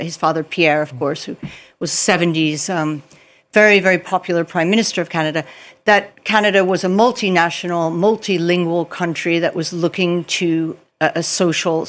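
A woman journalist giving her views as a guest on a news panel, thinking aloud with ums and restarts. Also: lowish voice. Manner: thoughtful, with frequent ums and restarts.

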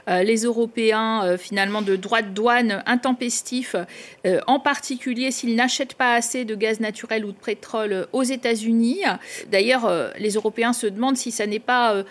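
Higher-voiced woman interviewer. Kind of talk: broadcast political interview questioning.

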